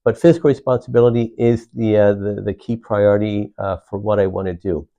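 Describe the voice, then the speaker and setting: A man's voice: on the low side, fairly even intonation, a little fast, warm tone, highs cut off. A middle-aged man from Puerto Rico, a county commissioner answering interview questions earnestly, often restarting his phrases with "uh". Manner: earnest, repeats words and restarts with many "uh"s.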